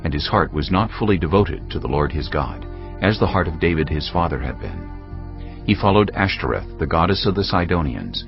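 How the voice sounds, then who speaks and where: Male narrator for dramatised scripture: low voice, solemn measured storytelling, slightly dull recording with music underneath. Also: animated, vocal fry.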